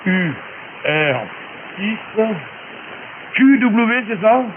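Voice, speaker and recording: A man with a fairly high voice chats as a radio amateur, explaining technical things in loose, colloquial speech. He comes through a thin shortwave channel with clear hiss and static around him. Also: lively intonation, extremely slow. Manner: colloquial, chatty explaining, frequent tag words. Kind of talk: casual radio chat with technical advice. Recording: narrow hissy noisy shortwave radio transmission.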